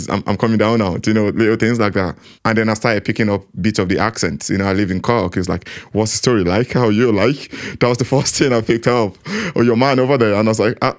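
A man giving a personal account in an interview, reflective and acting out his own inner voice. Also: lowish voice.

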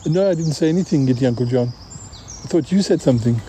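Man, very mellow tone, average pitch, hesitant, dithering, improvised comic dialogue, faint background noise. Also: animated, a little fast. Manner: hesitant, dithering, repeats words. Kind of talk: improvised comic audio-drama dialogue in character.

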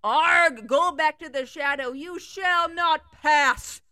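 A woman putting on a pompous old wizard who declaims solemnly and loudly. The voice sits high and bright. The recording is close and dry. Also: takes it slowly, dry recording, full character voice. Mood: commanding. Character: pompous old male wizard facing a demon.

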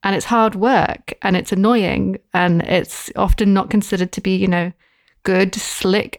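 A woman talks on a podcast, her mid-pitched voice measured and reflective. She often stops and restarts as she thinks. The sound is dry and close, with no room around it. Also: creaky, darkish tone, no echo. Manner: thoughtful, halting with restarts and fillers. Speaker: podcast guest researcher. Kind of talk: casual podcast discussion.

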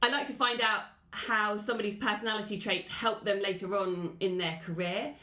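A woman's voice: mid-range voice, fairly even intonation, moderate pace, bright, forceful tone. Woman podcast host in an echoey room. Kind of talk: scripted podcast introduction, then conversational interview.

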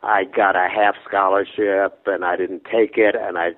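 A man in his nineties, originally from Brooklyn, tells his life story as an interview guest. His voice sits fairly low, and he rambles, restarts and lets his sentences fade quietly away. The sound is narrow and tinny, as if heard over a phone line. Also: level tone, moderate pace. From Brooklyn, New York. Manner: rambling anecdotes that trail off softly, with restarts and chuckles.

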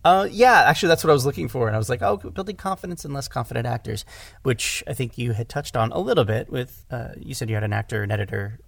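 A man in a casual conversation as a podcast guest and director, halting and rephrasing as he goes and acting out bits of imagined dialogue. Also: medium pitch, lively intonation, creaky, no room sound, clean studio sound.

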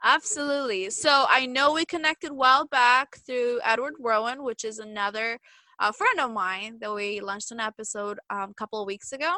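A woman's voice: fairly high pitch, normal speed, sharp tone, no room sound. A woman hosting a podcast interview, talking in an upbeat, chatty way with some fillers, her voice a little off from being sick. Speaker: podcast host.

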